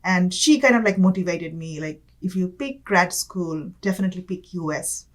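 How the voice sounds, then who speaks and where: A young woman from Bangladesh, a guest in an interview, talking casually with many fillers and soft laughs. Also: fairly low pitch, normal speed. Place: campus studio.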